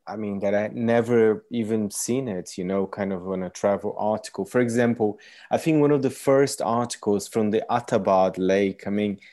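An interviewer asking questions with enthusiasm and halting phrasing. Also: mid-range voice, mellow.

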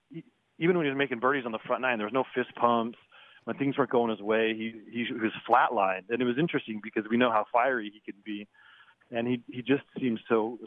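A man calling in as a golf writer guest. He talks in a chatty, halting way, restarts words often and chuckles now and then. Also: medium pitch, no room sound. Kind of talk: phone interview. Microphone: phone. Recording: tinny dry phone line.

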